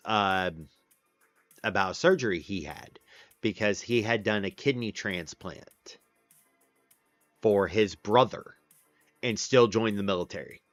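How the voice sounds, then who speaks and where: A man in his late thirties talks in a slow, unhurried drawl, a touch low, circling back and restarting his sentences. The mic is close and dry, the sound slightly dull, with faint music under him. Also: fairly bright tone, dry recording. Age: 30s. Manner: rambling, with many fillers and restarts. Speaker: livestream host. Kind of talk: casual chat with viewers.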